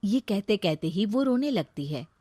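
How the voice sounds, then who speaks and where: A woman narrating a moral cartoon story in a plain, brisk manner. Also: medium pitch, lively intonation, warm tone, husky, dry recording, clean studio sound.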